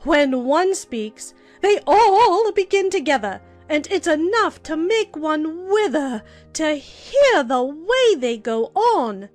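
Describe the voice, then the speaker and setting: A woman's voice: sits high, big pitch swings, slow, few pauses, faint music behind, exaggerated voice. A woman voicing a haughty, bossy talking flower with an imperious, emphatic drawl in a children's audiobook. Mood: bossy, indignant. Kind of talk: dramatized children's audiobook acting.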